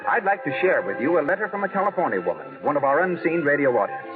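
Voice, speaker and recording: A man's voice: on the high side, big pitch swings, fast. A man announcing a 1930s radio show and its sponsor in a formal, emphatic showman style. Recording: tinny vintage radio broadcast, loud music.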